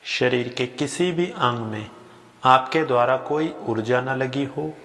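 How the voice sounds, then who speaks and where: Male meditation instructor: gentle, very slow delivery of short spaced-out instructions, voice carried in a long reverberant tail. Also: mid-range voice. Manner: unhurried, gentle, short phrases with long pauses. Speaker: yoga nidra guide. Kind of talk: guided sleep meditation, slow spoken instructions.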